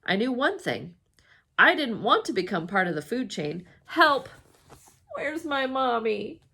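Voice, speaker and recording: Female storybook reader, slightly high pitch, swooping expressive delivery, dramatic emphasis for young listeners. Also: unhurried, vocal fry, slightly rough. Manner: dramatic, with emphatic exclamations and hushed suspense. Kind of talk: expressive children's read-aloud narration.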